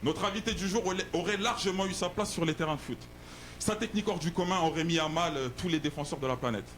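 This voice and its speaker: A young man hosting a casual studio chat show, joking and teasing with a halting delivery. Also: on the high side, a bit bright. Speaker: host.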